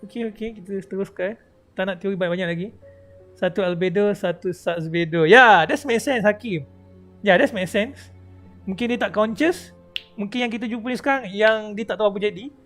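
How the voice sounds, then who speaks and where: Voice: mid-range voice, fast talker, a bit bright, soft background music. A Malaysian livestreamer, a native Malay speaker, giving casual, chatty game commentary and mixing in English.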